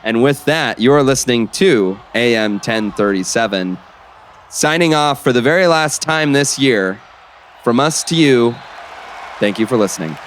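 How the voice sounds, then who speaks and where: A man playing an excited basketball play-by-play announcer on the radio in an audio drama, with dramatic, emphatic calls. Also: mid-range voice, measured pace, a faint crowd, close to an everyday voice. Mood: signing off. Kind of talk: sports commentary in an audio drama. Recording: basketball game noise behind.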